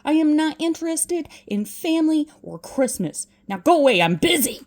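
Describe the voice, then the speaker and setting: A woman's voice: sits high, big pitch swings, normal speed, pitched above the performer's own voice. A woman voicing a grumpy, curt old miserly businessman in a storybook reading. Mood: gruff, dismissive. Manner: curt, scolding.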